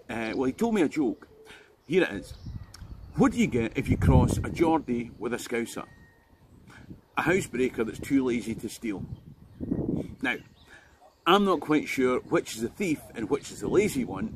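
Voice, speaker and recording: A Scottish man and history tour guide, giving an informal, jokey history talk to the viewer. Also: mid-range voice. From Scotland. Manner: jokey and conversational, with asides to the viewer. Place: outdoors on a tidal island. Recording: loud background noise.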